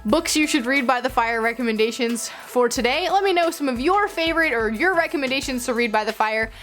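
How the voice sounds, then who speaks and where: High-pitched young woman, chatty vlogger. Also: lively intonation, fairly quick, a bit bright, soft background music. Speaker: book vlog host. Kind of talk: casual chatty recommendations to camera.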